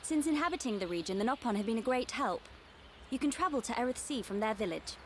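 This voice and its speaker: A woman's voice: a little high, expressive, quick pace, slight background noise, close to an everyday voice. An actress playing a formal, haughty young noblewoman with a posh British accent in a video game. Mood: composed, authoritative. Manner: formal, stately, aloof. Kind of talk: video game cutscene acting.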